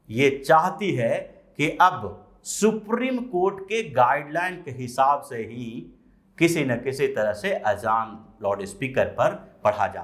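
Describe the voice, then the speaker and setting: A man presenting news commentary, explaining the story in a deliberate way with drawn-out phrases and pauses. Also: fairly high pitch, a bit bright.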